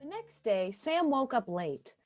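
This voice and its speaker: A woman narrates a fairy tale onstage in a lively, expressive voice. Her tone sits a little high. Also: a little slow, faint music behind. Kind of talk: storytelling narration in a stage play.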